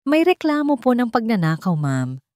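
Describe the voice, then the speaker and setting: A woman's voice: on the high side, very animated, moderate pace, a little mellow, no room sound, clean studio sound, voice pushed up. A Filipino-accented woman voicing a cold, businesslike policeman in a story, formal, polite and unyielding. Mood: official, firm.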